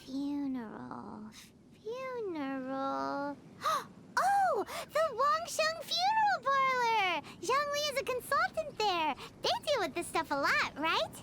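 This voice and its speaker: A woman's voice: sing-song, takes it slowly, background noise, heavily acted. A woman voicing a tiny, childlike floating fairy companion in a video game, jumpy and bossy in a dramatic way. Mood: indignant, curious. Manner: squeaky childlike, dramatic, refers to self by name. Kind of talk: English video game voice acting. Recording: loud game music behind.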